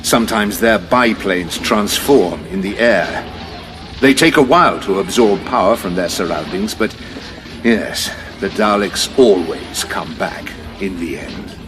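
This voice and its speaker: A man playing a composed Time Lord officer in an audio drama, delivering a crisp, explanatory briefing. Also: low-pitched, animated, unhurried. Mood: measured. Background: music.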